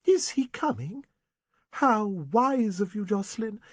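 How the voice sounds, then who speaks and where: A man voicing a shrill, hysterical, fanatical middle-aged spinster in an audiobook reading. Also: wildly swooping pitch, moderate pace, dry recording. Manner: shrill, breathless, rising to frenzied.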